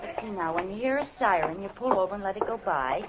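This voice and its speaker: An actress playing a naive, excitable, scatterbrained wife in a 1940s radio comedy, cheerfully oblivious and quickly indignant. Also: female voice, mid-range voice, over loud music, lightly acted. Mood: polite. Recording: noisy old radio recording, dull, studio audience.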